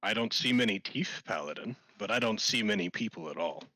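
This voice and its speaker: Man, fairly low voice, lively intonation, casual tabletop roleplay. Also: brisk, no room sound. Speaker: player in a tabletop game. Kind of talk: casual chat and in-character roleplay.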